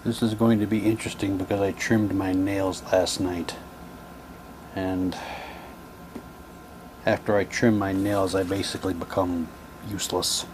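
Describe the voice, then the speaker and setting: A man giving halting, low-key, wry commentary as he casually unboxes items and reads captions aloud. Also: flat delivery, background noise. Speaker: unboxing video host.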